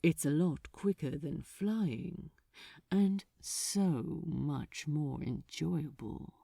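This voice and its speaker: A woman's voice: low-pitched, drawn-out words, vocal fry, dark tone, no echo, studio quality, pitched below the performer's own voice, heavily acted. A woman voicing a sly male archdemon from a story, with a smug, elegant, tender menace.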